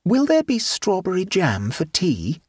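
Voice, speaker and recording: Man voicing a clever, cheeky storybook boy; lifted pitch, sly innocence. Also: big pitch swings, normal speed, breathy edge, no room sound, studio quality, full character voice. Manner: innocent but sly, gleeful.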